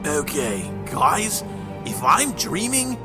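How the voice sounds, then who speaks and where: A man playing a goofy, excitable teenage mutant turtle in an audio drama, playful and dramatic. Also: high-pitched, slow pace, over loud music, heavily acted. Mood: awestruck.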